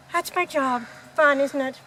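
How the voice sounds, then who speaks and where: A woman's voice: high voice, slow pace, sharp tone, a little hiss or hum, heavily acted. A woman playing a breezy, knowing galactic hitchhiker in a radio play, explaining things in a matter-of-fact way. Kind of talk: amateur radio play acting.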